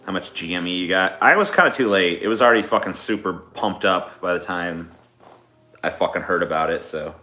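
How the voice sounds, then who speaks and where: A man's voice: sits low, a little fast, pushed and bright. A man hosting a casual stream, chatting off the cuff in a rambling, profane way. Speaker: streamer host. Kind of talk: casual improvised chat. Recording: roomy echo, music in background.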